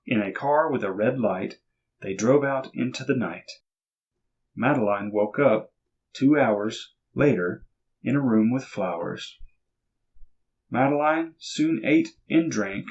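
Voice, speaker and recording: A man reading a children's picture book aloud in an expressive storytelling manner, on a dull lo-fi recording. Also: medium pitch, slow, pauses often, breathy edge. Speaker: reader.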